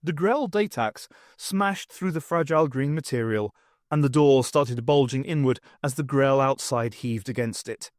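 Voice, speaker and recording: A man's voice: medium pitch, moderate pace, no echo, studio quality. A man reading an audiobook as narrator, in a scripted storytelling style.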